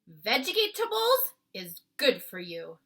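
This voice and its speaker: A woman's voice: high-pitched, huge pitch swings, slow pace, fairly bright tone, pitched above the performer's own voice, heavily acted. The BFG, an eager friendly giant, as a woman puts him on. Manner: earnest, eager, jumbled made-up words. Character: big friendly male giant, kindly and cunning.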